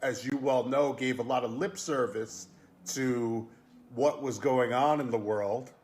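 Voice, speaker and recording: A man's voice: mid-range voice, fairly even intonation, a little slow, darkish tone. A man hosting an online interview, speaking enthusiastically and praising the guest's work. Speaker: interviewer host.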